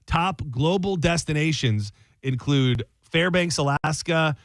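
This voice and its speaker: A man's voice: on the high side, slow, dry recording. A male radio host chatting casually in the studio, joking and rambling with his co-host. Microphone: studio microphone.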